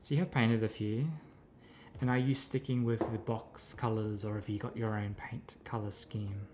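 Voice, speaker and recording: A man's voice: lowish voice, fairly even intonation, normal speed. A man with a scratchy voice chatting casually with viewers while painting miniatures, rambling and answering their messages. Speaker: streamer.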